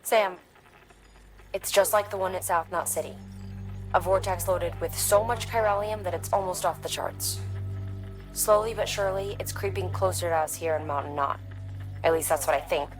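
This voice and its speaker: An enigmatic woman scientist in a video game, played by an actress. Her voice sits low, and she speaks calmly and solemnly in measured phrases. Soft music plays behind her. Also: lightly acted, rough recording. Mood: grave, concerned.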